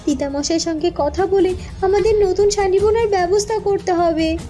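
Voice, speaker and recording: A woman voicing a calm, clever young princess in a cartoon, speaking with quiet resolve. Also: very high voice, a little fast, soft background music.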